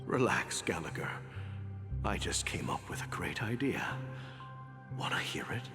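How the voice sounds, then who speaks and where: A man playing a kindly, determined old watchmaker and adventurer in a game, reassuring and unhurried. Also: low voice, expressive, in a whisper. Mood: calm, scheming. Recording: game audio, long reverb, loud music.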